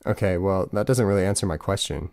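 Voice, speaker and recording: A man's voice: low-pitched, fast, creaky, a little mellow, dry recording, clean studio sound, pitched below the performer's own voice. A man in his twenties playing a cynical, exasperated young atheist in an audiobook dialogue, casual and sarcastic.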